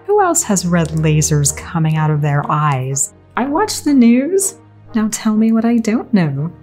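A woman's voice: fairly low pitch, huge pitch swings, a little mellow, faint music behind. A woman playing a sassy, wry young woman. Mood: sarcastic. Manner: sarcastic, quick retorts.

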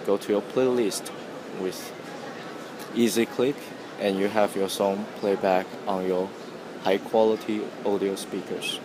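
A man narrating a product demonstration as its presenter. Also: sits low. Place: indoor trade show demo room. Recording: noisy room, air-conditioning hum.

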